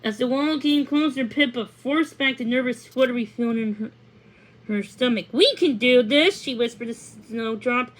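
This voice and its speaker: A woman narrating a children's book aloud, reading haltingly with stumbles and repeated words. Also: on the high side, fairly even intonation, low-quality recording.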